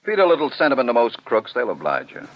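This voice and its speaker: Stern, sardonic commissioner, high-handed, swinging intonation, muffled 1940s radio drama sound. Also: male voice, wildly swooping pitch, fast. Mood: skeptical, scornful. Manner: sarcastic, high-handed, rhetorical questioning. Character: stern, skeptical male commissioner, unyielding defender of jury verdicts.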